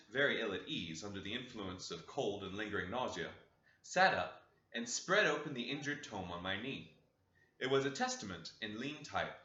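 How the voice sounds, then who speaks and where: A man reading a classic novel aloud with an expressive, varied delivery. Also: mid-range voice. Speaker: audiobook reader. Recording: roomy dull lo-fi recording.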